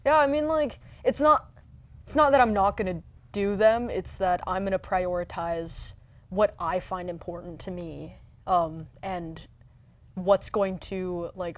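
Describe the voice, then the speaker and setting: A young woman speaks as a podcast guest in a somewhat low voice, taking her time. She answers thoughtfully and hesitantly, pausing often and filling gaps with "um" and "like". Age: young adult. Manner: slow, hesitant, lots of "um" and "like". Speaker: youth leader guest. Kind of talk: casual interview answers.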